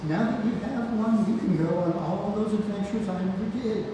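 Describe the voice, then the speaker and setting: A man playing a frail, wistful old grandfather in an amateur play reading, halting and trailing off. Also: sits high, natural voice. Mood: tender, encouraging. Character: frail, ailing old grandfather, wistful, tender, testy with his son. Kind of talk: amateur stage play table read. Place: theatre room, far from the mic. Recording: distant, very echoey hall, noisy.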